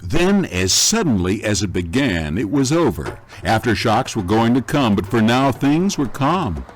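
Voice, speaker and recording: Animated, swooping cliffhanger announcer. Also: male voice, on the low side, a little slow, a little hiss or hum. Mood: dramatic. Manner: dramatic, tongue-in-cheek. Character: over-the-top male cliffhanger announcer, mock-grave and wry. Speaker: narrator. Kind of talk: campy scripted serial narration.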